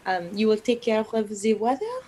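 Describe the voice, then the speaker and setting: A woman's voice: fairly high pitch, big pitch swings, brisk, warm tone, rough recording. Inquisitive lady passenger, played by a woman. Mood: uneasy. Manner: polite, hesitant, questioning. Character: genteel French lady passenger, inquisitive and uneasy.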